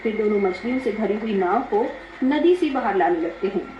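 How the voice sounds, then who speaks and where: A woman's voice: medium pitch, animated, normal speed, background noise. A woman narrating a scripted dramatic story. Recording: echoey, dull, music behind.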